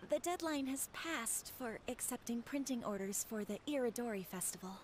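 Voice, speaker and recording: An actress playing a polite, apologetic shopkeeper auntie in a video game. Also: female voice, a little high, lively intonation, normal speed, a little mellow, slight background noise, close to an everyday voice. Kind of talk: video game cutscene voice acting.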